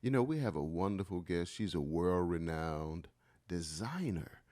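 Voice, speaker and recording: A man's voice: sits low, animated, a little slow, warm tone, no room sound, studio quality. A man co-hosting a podcast, talking conversationally as he introduces and questions a guest. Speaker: podcast co-host.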